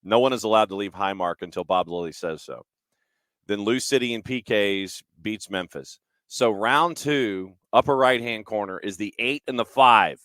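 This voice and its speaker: Male sports show host, fairly deep, clipped recap delivery, wry asides, very little room sound. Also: moderate pace, fairly bright tone, no echo. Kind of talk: casual sports talk, recapping results.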